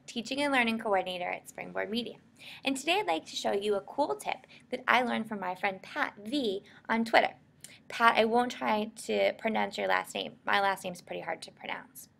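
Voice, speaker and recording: Lively American woman, slightly high voice. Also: vocal fry. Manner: informal, explanatory. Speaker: software instructor. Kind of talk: screencast tutorial narration.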